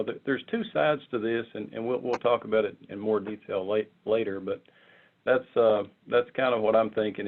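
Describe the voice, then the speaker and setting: Man, lowish voice, halting informal presenter, repeats words, narrow muffled conference-call sound. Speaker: committee member presenting proposals.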